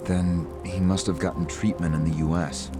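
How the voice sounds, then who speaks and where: A man's voice: sits low, level tone, moderate pace, lightly acted. A man acting an educated, cowed captive in a video game, speaking formally and hesitantly. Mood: subdued, explaining. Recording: loud music behind the voice.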